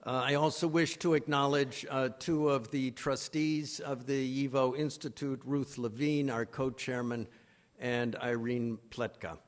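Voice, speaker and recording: A man speaking as an executive director, introducing a program with a formal welcome at a live event in a halting, hesitant way. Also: mid-range voice, fairly even intonation. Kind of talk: formal welcome speech at a conference. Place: live event before an audience.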